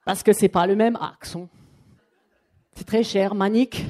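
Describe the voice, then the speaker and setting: A woman presenting a live coaching workshop to a room of people, talking in a chatty, lively way and acting out what clients say. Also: medium pitch, measured pace. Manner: lively, chatty, acts out quotes. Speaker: trainer and coach. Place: training room with an audience.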